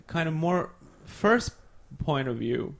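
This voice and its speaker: Soft-spoken young Iranian man, hesitant. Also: fairly high pitch, sing-song, takes it slowly, creaky. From Iran. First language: Farsi. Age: young adult. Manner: hesitant, searching for words. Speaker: podcast guest. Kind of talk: casual chat.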